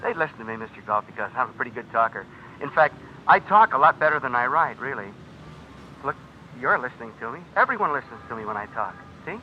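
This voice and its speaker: An actor in an old radio drama playing a smug, menacing blackmailer, a writer who talks with insinuating mock politeness. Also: male voice, medium pitch, big pitch swings, quick pace, lightly acted. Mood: calm menace. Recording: dull, noisy old radio recording.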